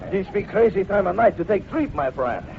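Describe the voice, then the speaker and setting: Through a muffled, faintly noisy old radio recording comes an excitable French-Canadian frontier sidekick. His voice is creaky and very lively, full of colorful broken English and bits of French. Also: high voice, fairly quick. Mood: urgent. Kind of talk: 1950s radio western acting. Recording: noisy, band-limited vintage radio recording.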